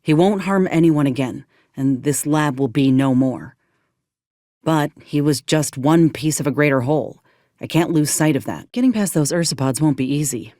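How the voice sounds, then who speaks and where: Folksy space soldier, dry close recording. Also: female voice, low-pitched, dry recording. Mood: conflicted, solemn, then concerned. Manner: folksy, blunt, principled speech. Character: earnest, plain-spoken young woman, frontier space soldier companion. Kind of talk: video game character voice acting.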